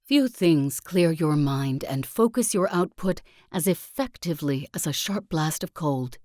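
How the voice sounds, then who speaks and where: A woman voicing a zealous self-help guru and sect leader in a video game, speaking in solemn, deliberate oratory with calm conviction. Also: deep voice, no room sound, studio quality. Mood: smooth, promotional. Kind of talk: scripted video game character monologue.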